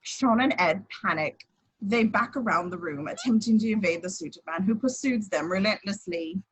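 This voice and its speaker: A woman's voice: medium pitch, normal speed, fairly bright tone, breathy edge, rough recording. A woman reading scripted action descriptions as the narrator of a screenplay table read.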